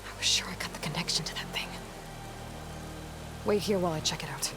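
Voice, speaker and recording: A woman playing a determined, burdened young hunter heroine in a video game, earnest and halting. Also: on the low side, in a whisper, lightly acted. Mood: focused. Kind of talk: dramatic video game acting. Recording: music behind the voice.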